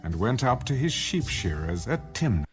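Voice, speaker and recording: A man's voice: medium pitch, big pitch swings, moderate pace, faint music behind. A man reading scripture as the narrator of a dramatized audio Bible.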